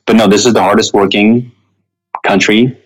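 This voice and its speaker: A man's voice: on the low side, steady tone, normal speed, husky, rough recording. A man from Armenia, a guest in an interview, telling his life story earnestly with frequent ums and self-corrections. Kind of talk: reflective personal storytelling.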